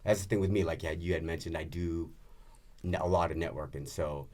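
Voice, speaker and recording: A man with a very low voice chatting on a podcast about his music. He speaks in a laid-back, halting way and keeps agreeing with the others. His delivery is relaxed and unhurried. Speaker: musician guest. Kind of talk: casual podcast chat.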